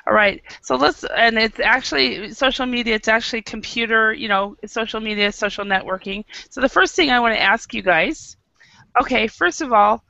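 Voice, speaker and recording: An American woman leading an online English class, upbeat and encouraging, with frequent quick affirmations. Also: on the high side, gravelly, pushed and bright, dry recording, lo-fi sound. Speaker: English teacher. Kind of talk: online group English lesson.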